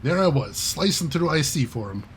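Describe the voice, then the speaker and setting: A man's voice: on the high side, expressive, normal speed, a little hiss or hum, lightly acted. A man reading aloud and voicing a wry male hacker character who tells a self-deprecating heist story in a chatty way. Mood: sheepish.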